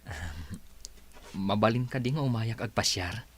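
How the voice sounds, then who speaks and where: A man's voice: lowish voice, lively intonation, a little slow, husky. A man acting a polite, patient suitor who was a security guard, in an Ilocano radio comedy drama, with a Filipino Ilocano accent. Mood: hopeful. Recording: loud hum and noise behind.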